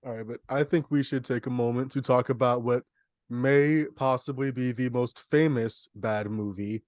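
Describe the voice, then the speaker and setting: A man with an average-pitched voice talks casually as a podcast co-host. His phrasing is measured and wry, sometimes feeling for the word. The sound is very dry, free of room echo. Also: steady tone, darkish tone. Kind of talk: casual chat, discussing films.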